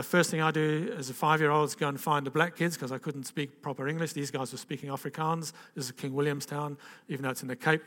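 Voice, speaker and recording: A man's voice: a little high, fairly bright tone, in a large hall. An older man gives an informal personal testimony to a gathering. He talks in a rambling, conversational way, with restarts and frequent "okay?" asides. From Zambia and Zimbabwe. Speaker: speaker giving a personal testimony.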